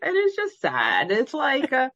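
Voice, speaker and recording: A woman co-hosts a casual book podcast with a lively, swooping voice. She slips into acting out imagined lines as she talks. The sound is dry and close but somewhat dull and lo-fi. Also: sits high, a little slow, no room sound. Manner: animated, acts out imagined dialogue. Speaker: podcast co-host. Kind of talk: casual book-discussion chat.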